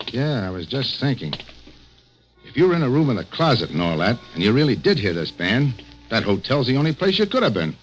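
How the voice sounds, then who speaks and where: A man's voice: medium pitch, sing-song, fairly quick, muffled recording, close to an everyday voice. Man playing brusque 1940s radio police detective. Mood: reasoning, steady. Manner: clipped, commanding, slangy. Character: tough, streetwise adult police detective, brusque but loyal. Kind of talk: 1940s radio crime drama acting. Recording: vintage 1940s radio recording with music behind.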